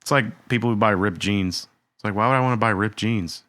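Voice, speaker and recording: A man with a somewhat low but bright voice co-hosts a relaxed music podcast. He jokes and laughs easily. The recording is dry and close. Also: brisk, dry recording. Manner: easygoing, joking, laughs a lot. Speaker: podcast co-host. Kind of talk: casual chat.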